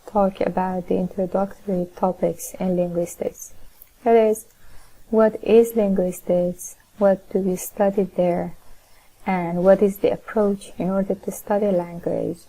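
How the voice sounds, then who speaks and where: Female lecturer, Persian accent, gravelly fry, unhurried explanatory delivery. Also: mid-range voice, mellow, low-quality recording. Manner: measured, explanatory, frequent uh fillers. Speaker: linguistics lecturer. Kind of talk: recorded online lecture.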